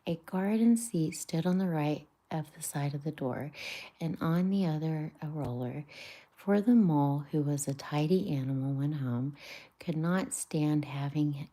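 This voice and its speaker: A woman streamer reading a classic children's book aloud in a soft, half-whispered voice, stumbling now and then over words. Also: low voice, unhurried. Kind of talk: live-streamed storybook reading.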